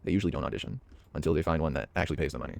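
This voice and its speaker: A man's voice: very deep voice, racing, vocal fry. A young man chatting casually while playing a game, rambling and hesitant with lots of fillers and restarts. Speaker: hobby voice actor and gaming vlogger. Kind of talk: casual rambling commentary over gameplay.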